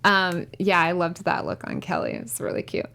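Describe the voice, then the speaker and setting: A woman's voice: fairly low pitch, big pitch swings, brisk, vocal fry, bright, forceful tone. A woman chatting informally and enthusiastically as a panelist on a TV recap show. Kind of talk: casual chat.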